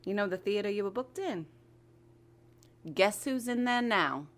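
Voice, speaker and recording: A woman's voice: mid-range voice, lively intonation, a little slow, fairly bright tone. A woman voicing a sly, sardonic and pushy magical sidekick to a magician in an audio drama. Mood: teasing. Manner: teasing, sardonic.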